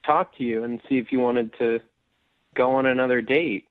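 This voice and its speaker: A man calling in to a radio show by phone, talking in a nervous, hesitant, halting way. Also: mid-range voice, steady tone, no echo. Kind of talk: casual phone talk. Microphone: telephone. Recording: narrow dry phone line.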